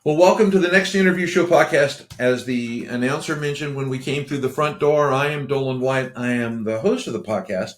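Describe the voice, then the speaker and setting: A male podcast host chats casually, looping back and restarting his sentences as he goes. His voice sits at an ordinary pitch and carries a roomy echo. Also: fairly quick, few pauses. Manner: rambling, repeats words and restarts often. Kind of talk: casual interview chat.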